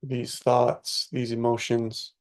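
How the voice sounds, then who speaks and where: A man leading a guided meditation as a teacher, speaking soothingly in short, unhurried phrases with long pauses. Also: medium pitch, completely flat delivery, darkish tone.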